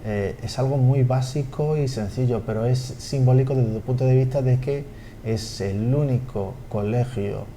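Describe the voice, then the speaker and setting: A man, a language school director interviewed on TV, speaking haltingly with repeated words and fillers. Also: mid-range voice, mellow, a little hiss or hum. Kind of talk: TV studio interview.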